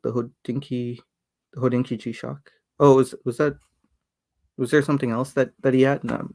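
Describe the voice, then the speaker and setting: A man's voice: medium pitch, gravelly. Hesitant man hosting a casual livestream. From Sri Lanka. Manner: hesitant, many fillers and restarts, chuckles often. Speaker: livestream host reading chat.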